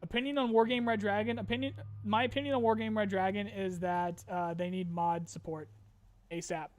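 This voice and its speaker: A man's voice: sits high, steady tone, a little fast. A man streaming a casual chat, reading viewer questions aloud and answering loosely with fillers, a little tired and his voice getting strained.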